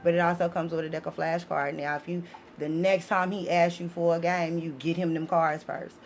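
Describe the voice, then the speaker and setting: A woman's voice: on the low side, level tone, normal speed. A woman podcast guest talking in an impassioned, conversational way, blunt, emphatic and full of slang. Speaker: podcast guest, author of children's book series. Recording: noisy room ambience.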